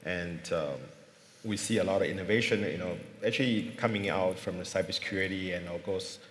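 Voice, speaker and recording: Low-voiced man in an echoey hall. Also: brisk, slight background noise. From Singapore. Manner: thoughtful, many fillers and restarts. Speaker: panelist. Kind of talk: panel discussion. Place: large conference hall.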